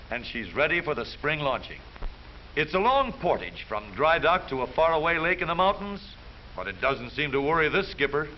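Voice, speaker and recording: An American man delivers jaunty 1930s newsreel narration in a fairly high voice. His theatrical, wisecracking lines swing widely in pitch. The old soundtrack is dull, with a light hiss.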